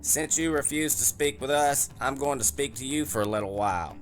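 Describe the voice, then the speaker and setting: A man voicing an officious, well-groomed government interrogator in a suit, with a brisk, clipped, bureaucratic manner, in an audiobook. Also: medium pitch, faint music behind, voice pushed up, natural voice.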